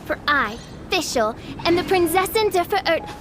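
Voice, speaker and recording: A woman's voice: very high voice, animated, measured pace, noisy background. A woman voicing a theatrical teenage girl who poses as a grandiose princess in a fantasy game, pompous and declamatory. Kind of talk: video game voice acting. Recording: loud music behind.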